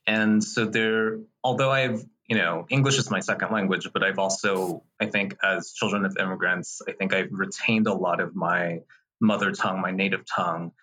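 Bright, husky man in his thirties. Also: sits low, fairly even intonation, rough recording. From Taiwan. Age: 30s. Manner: reflective, measured, with fillers. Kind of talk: casual conversation.

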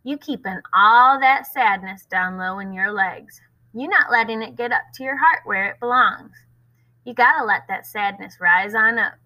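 A woman voicing a tall, stern older woman who cleans motel rooms, with a slow, rhythmic, folksy drawl. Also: fairly high pitch, bright, forceful tone, rough recording.

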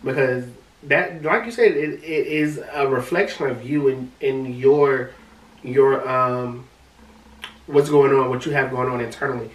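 A man with a high-pitched voice, co-hosting a casual podcast chat, talking in an animated way and often restarting his words. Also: measured pace. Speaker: podcast co-host. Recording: roomy sound from a distant mic.

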